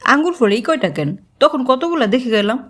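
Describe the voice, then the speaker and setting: A woman's voice: sits high, lively intonation, fast talker, a bit bright. A woman voicing a sulky, whiny teenage daughter in a cartoon. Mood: grumbling. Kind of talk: cartoon voice acting.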